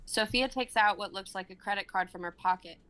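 Bright-voiced young woman narrating. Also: medium pitch, a little fast. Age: 20s. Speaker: narrator reading stage directions. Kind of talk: dramatic script reading. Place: home room on a group video call.

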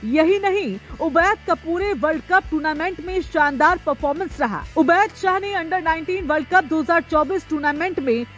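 A woman's voice: very high voice, moderate pace, few pauses, faint music behind. A woman reading scripted sports narration with a dramatic, poetic build-up.

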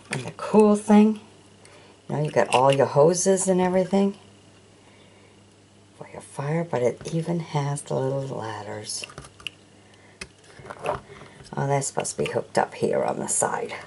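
A woman's voice: sits low, background noise. An older woman showing off toy vehicles from an auction, chatting casually and asking the viewer questions as she films them at a sunny windowsill. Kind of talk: casual show-and-tell narration.

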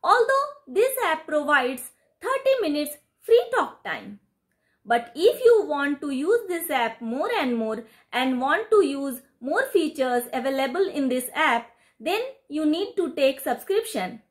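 A woman's voice: sits high, sing-song, unhurried. A middle-aged Indian woman, a native Hindi speaker from West Bengal, speaking earnestly as an English learner and video host. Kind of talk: earnest spoken English practice and presenting.

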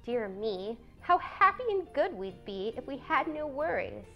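A woman's voice: high voice, sing-song, unhurried, creaky, sharp tone, faint music behind, heavily acted. A woman voicing a prim, responsible teenage elder sister with an earnest, lecturing manner in a storybook reading. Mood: longing.

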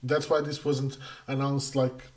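A middle-aged man from Portugal, hosting a casual livestream Q&A as community manager, halting with many fillers and restarts. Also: medium pitch, flat delivery. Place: home room, indoors. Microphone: headset.

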